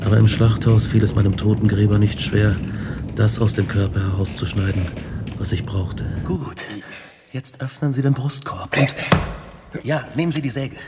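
An actor playing an obsessed young male scientist, grave and deliberate, in a German radio drama. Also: lowish voice, heavy background noise, natural voice. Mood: tense, urgent. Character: Victor Frankenstein, obsessed young male scientist recounting his horrors.

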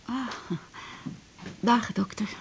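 A woman's voice: on the high side, extremely slow, gravelly, background noise, heavily acted. An actress playing a lonely, fretful female hospital patient in a radio drama, plaintive, hesitant and chatty. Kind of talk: 1980s Dutch radio drama acting.

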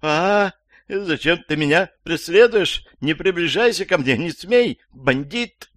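A man's voice: medium pitch, expressive, brisk, fairly bright tone, no echo, studio quality, voice pushed up, lightly acted. A man voicing a frightened, frantic elderly woman in an audiobook, crying out in panic. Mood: panicked. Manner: panicky, breathless rattling outcries.

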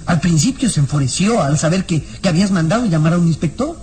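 A performer acting a young boy companion in a radio adventure drama. He sounds curious and nervous, asking things in a hushed, uneasy tone. Faint music runs behind the voice. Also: mid-range voice, expressive, fast, lightly acted.

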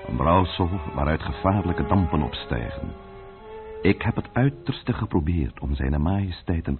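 An actor playing a worried, self-declared ugly courtier who reads out a formal letter, in an old radio play. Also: male voice, deep voice, slightly rough, exaggerated voice. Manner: grave, formal letter reading. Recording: tinny old radio recording.

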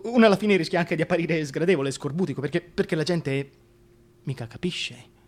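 An actor playing a bitter, scheming, deformed Shakespearean duke who pities himself, moving between declaimed verse and hushed confession in a radio drama. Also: male voice, fairly high pitch, brisk, natural voice. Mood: wounded self-pity. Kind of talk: theatrical radio drama monologue.

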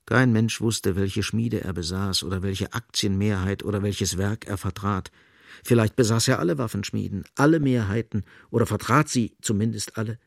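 A man narrates a literary radio drama with measured precision. His voice is fairly low with a clear creak. The sound is close and very dry, as in a studio. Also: no room sound. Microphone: close, dry studio microphone.

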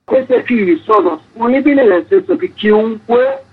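A man with a raspy, creaky voice explaining his work as a curator being interviewed. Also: very high-pitched, expressive, moderate pace. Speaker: photography exhibition curator interviewed as guest. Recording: dull, lo-fi line.

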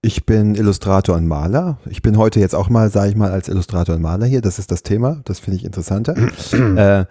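A man from northern Germany with a very deep, dark voice, thinking aloud in a studio interview. He speaks in long, winding sentences and often searches for words. The sound is close and dry. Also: quick pace, hardly any pauses, dry recording. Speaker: guest, illustrator and painter. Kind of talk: casual interview.

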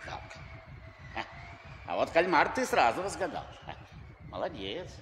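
A man's voice: fairly high pitch, big pitch swings, unhurried, fairly bright tone. An actor in a Russian radio play playing a kindly, folksy older seaman who rambles and encourages. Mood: kindly, musing. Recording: old radio play, reverberant, faint noise, ambience.

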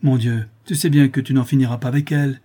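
A man's voice: mid-range voice, fairly even intonation, fast talker, mellow, lightly acted. A man voicing a feverish, complaining wife in a storybook, with a plaintive, impatient manner. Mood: impatient, doleful.

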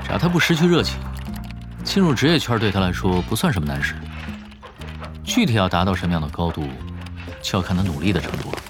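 An actor playing a laid-back, quietly confident veteran gamer in an audio drama, unhurried and lightly amused. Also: male voice, sits low, lively intonation, music in the background. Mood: relaxed.

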